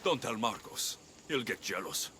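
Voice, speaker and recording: Low-voiced, wry Greek mercenary. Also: male voice, sing-song, normal speed, sharp tone, a little hiss or hum. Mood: dry, familiar. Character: young Greek mercenary, bold, wry and blunt. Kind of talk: video game cutscene dialogue. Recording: music behind the voice.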